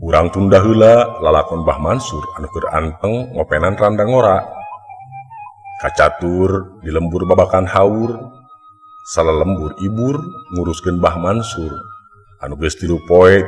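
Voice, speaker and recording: A man's voice: deep voice, measured pace. A man with a Sundanese accent narrating a mystery folk tale in a dramatic storytelling style. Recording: long echoing reverb, music underneath. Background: background music.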